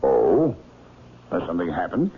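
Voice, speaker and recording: Low-voiced, wry radio drama doctor. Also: male voice, huge pitch swings, moderate pace, full character voice. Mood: wry, feigned innocence. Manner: wry, conversational. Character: wry, loyal male doctor shielding an accused friend. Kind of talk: 1970s radio drama acting. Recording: muffled vintage radio recording with hiss.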